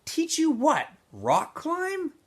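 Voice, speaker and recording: A weary teenage boy from a storybook, acted out by a man reading aloud. He is guarded and curt, with an informal way of speaking and a raised, animated pitch. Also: very slow, exaggerated voice. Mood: puzzled, irritated.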